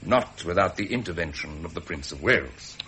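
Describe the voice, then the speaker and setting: An actor playing an embittered British naval commander, wry and forthright, with an upper-class English accent. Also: male voice, sits low, expressive, fairly quick, sharp tone, slight background noise. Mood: bitter, sardonic. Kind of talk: 1970s British period drama acting.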